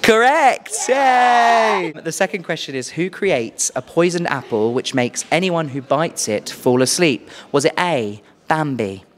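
Young man, British accent, quiz host, lively swooping pitch, playful, exclaiming, handheld mic. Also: unhurried, few pauses. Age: young adult. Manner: animated, exclaiming. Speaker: host, quizmaster. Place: small furnished indoor room. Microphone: handheld microphone.

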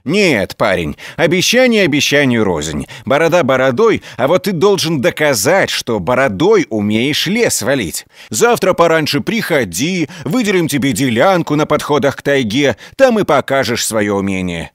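A man's voice: medium pitch, very animated, moderate pace, hardly any pauses, no echo, clean studio sound, voice pushed up, natural voice. Man putting on a blustering, mocking village foreman. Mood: scornful challenge. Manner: rude, scornful, boastful folk talk.

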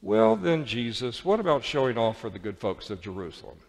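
A man's voice: mid-range voice, sing-song, a little fast, gravelly. A man voicing a sly devil in a sermon, coaxing insistently and theatrically. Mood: urgent coaxing. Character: sly, coaxing male devil tempting grandly. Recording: reverberant church hall.

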